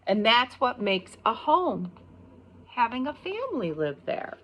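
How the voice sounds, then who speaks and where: A middle-aged woman with an American accent, a pre-kindergarten teacher reading a picture book aloud and explaining it simply, pausing on key words. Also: on the high side, very animated, highs cut off.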